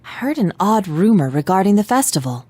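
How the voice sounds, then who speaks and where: An earnest, analytical teenage detective in a video game. The pitch is medium with lively movement, and the diction is polite and exact. It is recorded very dry. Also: female voice, fairly quick, no room sound. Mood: thoughtful, serious. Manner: polite, precise, formal diction.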